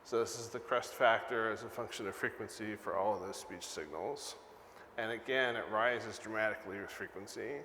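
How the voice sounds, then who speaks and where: Older male conference presenter, lowish pitch, emphatic explainer, echoey stage sound. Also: normal speed, sharp tone. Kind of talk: technical lecture with slides. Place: large conference hall stage, indoors. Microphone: lapel mic. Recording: echoey hall PA, some background noise.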